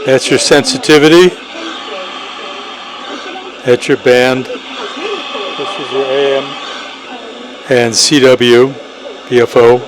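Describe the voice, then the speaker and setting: A man's voice: mid-range voice, lively intonation, slow pace, pauses often. A man giving a casual, enthusiastic product demonstration in short plain phrases, as an amateur radio operator. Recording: noisy, radio static and hum.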